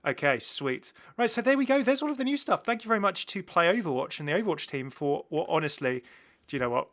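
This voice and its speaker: A man's voice: on the high side, lively intonation, quick pace, a bit bright. A man hosting a gaming channel, giving casual, chatty and enthusiastic commentary as he shows off game content. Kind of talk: casual gameplay showcase commentary.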